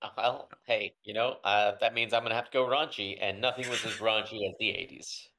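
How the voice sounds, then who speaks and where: A man co-hosting a casual comedy podcast, relaxed and offhand, making jokes. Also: lowish voice, brisk. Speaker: podcast co-host.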